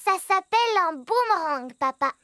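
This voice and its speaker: A performer voicing a bright, curious young animal child in a preschool cartoon. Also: extremely high pitch, animated, normal speed, dry recording, studio quality, heavily acted. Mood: chatty.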